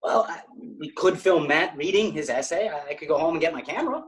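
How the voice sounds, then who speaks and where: A man reading the part of a quick-witted teenage boy who wants to make films, stammering and restarting. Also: a little high, expressive, close to an everyday voice, rough recording. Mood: hesitant. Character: quick-witted teen boy, aspiring filmmaker. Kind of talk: table read of a sitcom script.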